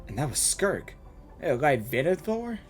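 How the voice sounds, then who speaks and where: Halting male streamer with lively pitch. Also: soft background music. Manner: halting, repeats phrases while thinking aloud. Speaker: gaming streamer reacting to a game story. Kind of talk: casual live reaction commentary.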